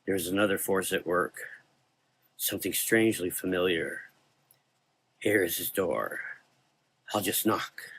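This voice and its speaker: A man's voice: low-pitched, a little slow, pauses often, a bit bright, husky, full character voice. A man playing a mysterious gothic figure with a gift for minds, speaking in a soothing, coaxing, hypnotic way in an audio drama. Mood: brooding.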